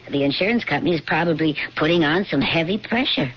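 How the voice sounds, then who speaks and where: A woman acting in a radio drama as an affectionate, inquisitive wife, expressive and gently probing in her questions. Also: sits low, fast, husky. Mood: wry, caring. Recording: dull, hissy old radio drama recording.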